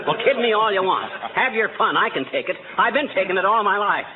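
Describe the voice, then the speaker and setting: On a tinny, noisy old radio recording, a stubborn American small-town husband. He blusters in a highish, lively voice, folksy and huffy, in vintage comedy style. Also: a little fast. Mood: indignant, stubborn. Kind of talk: 1940s radio comedy acting.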